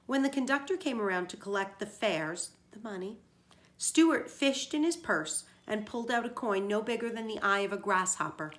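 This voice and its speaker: A woman's voice: medium pitch, expressive, normal speed, a bit bright. Woman teacher reading a storybook aloud. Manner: explains words plainly for children. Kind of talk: storybook read-aloud with simple asides.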